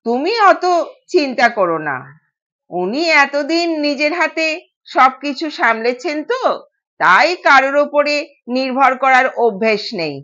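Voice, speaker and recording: Young new bride, played by a female storyteller, dutiful and polite, high unhurried voice, slightly lo-fi. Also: expressive, pushed and bright, full character voice. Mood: reassuring. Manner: polite, soothing. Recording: dull, lo-fi sound.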